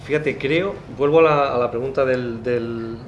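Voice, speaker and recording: A man's voice: mid-range voice, moderate pace, muffled recording, a little hiss or hum. A man in his thirties on a conference panel, starting his points reflectively with stumbling restarts. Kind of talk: panel discussion. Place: conference room. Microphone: desk microphone.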